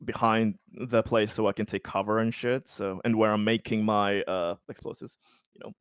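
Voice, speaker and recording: A man's voice: lowish voice, little pitch movement, moderate pace, smooth, no echo, clean studio sound. A man chatting casually as a tabletop game player, talking tactics in informal, sweary language. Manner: informal, profane.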